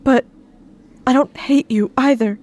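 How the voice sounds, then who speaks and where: An actress playing a gentle, frightened young woman held captive in a 1940s-style radio suspense drama, hesitant and pleading. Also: high-pitched, unhurried. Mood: nervous, pleading. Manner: hesitant, pleading, softly spoken.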